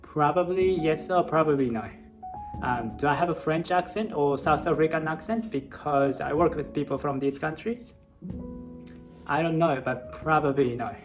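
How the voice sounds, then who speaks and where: A man's voice: on the high side, normal speed, over music. A young man from Japan speaking English with a Japanese accent, giving earnest, encouraging advice to English learners as a channel host. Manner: earnest, encouraging, steady explanatory delivery. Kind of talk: casual advice talk to camera.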